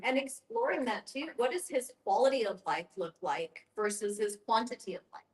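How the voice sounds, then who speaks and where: A woman's voice: on the high side, animated, normal speed. A woman speaking as a panelist in a conversational group discussion, agreeing and explaining. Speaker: panelist at a discussion on end-of-life planning. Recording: roomy echo.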